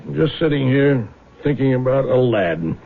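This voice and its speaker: An actor playing a weary, guarded aging American lawyer and father in a 1970s radio drama, with a gravelly, husky voice. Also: mid-range voice, lively intonation, moderate pace. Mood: weary, reflective. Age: older. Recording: muffled vintage radio recording.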